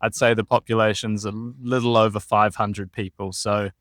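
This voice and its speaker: A young man with a New Zealand accent, a podcast guest telling his travel story in a relaxed, meandering way with frequent ums. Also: fairly low pitch, normal speed, dry recording, studio quality. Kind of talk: casual interview chat.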